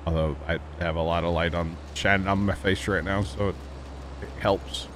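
A relaxed man with a low voice hosts a gaming livestream from his home setup. He chats loosely and laughs often, with a faint hiss under the sound. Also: normal speed. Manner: laid-back, chatty, laughs often. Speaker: livestream host. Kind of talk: casual gaming stream chat.

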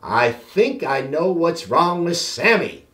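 A man's voice: on the high side, very animated, takes it slowly, a bit bright, reverberant, heavily acted. A man voicing concerned young schoolchildren in a storybook, earnest and questioning.

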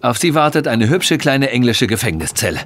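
An actor in a German audio drama playing a stiff, sardonic and officious police inspector. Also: male voice, mid-range voice, very animated, fairly quick, fairly bright tone, a little hiss or hum. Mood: brisk, smug.

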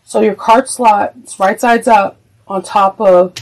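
A woman's voice: medium pitch, steady tone, a little slow, rough recording. A woman teaching a sewing project, talking casually and thinking aloud as she works. She is getting over a cold and her voice cracks now and then. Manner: chatty, thinks aloud while working. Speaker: sewing instructor. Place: craft room at a sewing table.

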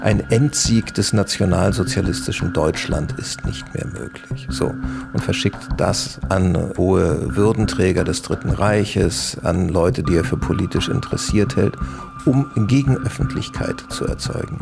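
A man gives an explanatory account in a deep voice, heavy with vocal fry. Music plays clearly behind him. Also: a little slow.

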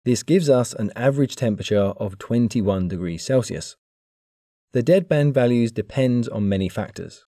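A man giving a clear, measured, scripted narration for an engineering explainer video. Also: mid-range voice, expressive, warm tone, no echo, studio quality. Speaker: engineering educator.

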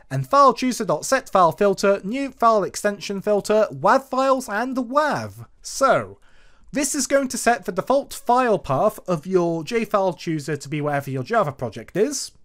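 Male tutorial narrator, animated intonation, informal, methodical explainer, voice-over. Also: sits high. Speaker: tutorial presenter. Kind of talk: scripted programming tutorial narration with informal asides. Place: voice-over to a screen recording.

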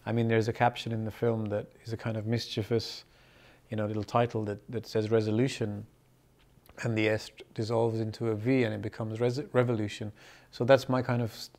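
A man's voice: fairly low pitch, level tone, a little fast, darkish tone. Thoughtful man with a London accent. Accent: London English. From born in Pakistan, grew up in the UK. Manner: thoughtful, repeats words while searching for phrasing. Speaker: film director. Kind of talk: interview answers. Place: indoors in front of a film poster.

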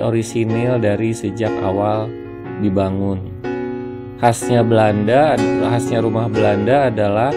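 A man narrating a walk-through house tour, explaining each step as he presents the property. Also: mid-range voice. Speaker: real estate agent presenting a house tour. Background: plucked guitar music.

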